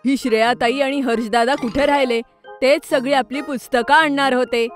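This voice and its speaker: A woman voicing an excitable, curious young schoolboy who frets a little, in a children's cartoon story. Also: sits high, moderate pace, natural voice. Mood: worried. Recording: music behind the voice.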